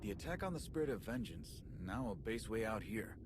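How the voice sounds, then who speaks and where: A man's voice: fairly low pitch, very animated, brisk, noisy background. An actor playing a wisecracking, easygoing male pilot and fighter in a game cutscene, with a wry, casual way of talking. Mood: wry, alert. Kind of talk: scripted game cutscene acting.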